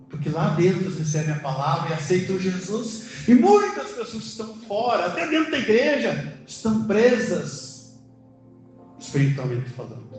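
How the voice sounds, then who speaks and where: Echoey, high-pitched Brazilian male preacher. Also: darkish tone. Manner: emphatic, asks questions and answers them, prompts congregation. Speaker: preacher, church elder. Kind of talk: conversational sermon with rhetorical questions. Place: indoor church service, music in the background. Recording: very echoey church hall, music behind.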